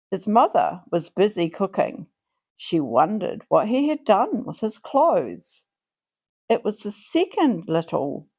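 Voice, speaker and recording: A woman reading a children's storybook aloud in an expressive, deliberate way, drawing out her phrases for young listeners. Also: on the low side, creaky. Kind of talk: read-aloud storybook narration.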